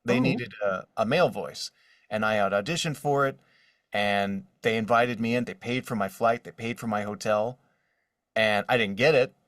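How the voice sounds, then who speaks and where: A man's voice: on the low side, normal speed, fairly bright tone, studio quality. A man chatting casually as an interview guest, answering questions about his working life as a voice actor. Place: indoor room with drawings behind him. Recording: roomy, distant mic.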